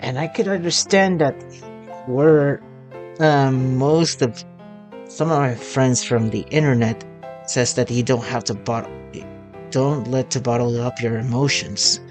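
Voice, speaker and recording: Slow-paced gaming creator, halting and rambling. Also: mid-range voice, a little mellow, soft background music. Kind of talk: casual let's play commentary.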